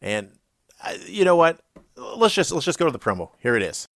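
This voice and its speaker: A man's voice: fairly high pitch, big pitch swings, a little fast, noisy background. A man hosting a wrestling podcast, chatty and laughing, sounding stuffy and congested. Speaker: podcast host. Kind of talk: casual podcast outro.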